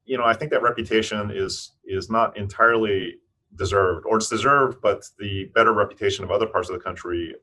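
A man in his fifties with a very deep voice, a guest on an interview. He answers in a thoughtful, measured way, often pausing and restarting his sentences. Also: steady tone, bright, forceful tone, slight background noise. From United States (Eastern Tennessee). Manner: thoughtful, with frequent restarts and fillers. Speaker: physician and scientist guest. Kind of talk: interview conversation.

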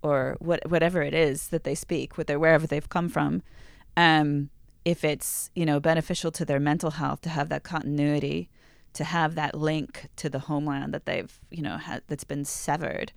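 A woman's voice: low voice, fairly even intonation, moderate pace, creaky, dry recording, studio quality. A woman speaking in an interview about refugee families and their home languages, earnest and searching for words.

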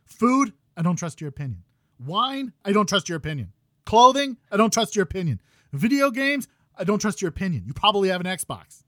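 A man in his thirties hosting a gaming podcast, ranting loudly with exasperation while losing his voice. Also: high voice, very animated, fairly quick, dry recording, clean studio sound. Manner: loud exasperated ranting, swings to quiet muttering. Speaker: podcast host.

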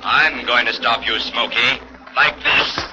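An actor playing a calm, commanding masked crime-fighter who taunts villains, in a 1940s radio drama. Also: male voice, mid-range voice, slow, heavy background noise, close to an everyday voice. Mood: coolly menacing. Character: masked male crime-fighter, cool and menacing. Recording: muffled 1940s radio recording, distant.

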